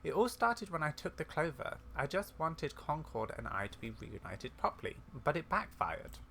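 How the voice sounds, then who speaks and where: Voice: medium pitch, big pitch swings, normal speed, creaky, a bit bright, slight background noise. A gamer voicing an earnest, curious young heroine in video game dialogue read aloud.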